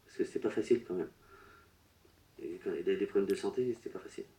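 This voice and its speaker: A man working as a medium at a public séance, relaying messages in short, broken, halting phrases. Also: sits low, warm tone. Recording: roomy echo.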